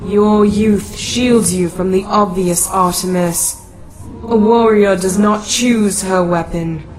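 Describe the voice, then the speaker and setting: A woman voicing a calm, wise, motherly goddess in a motion comic, measured and stately. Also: mid-range voice, crowd noise, lightly acted. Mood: serene, firm. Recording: clearly noisy background.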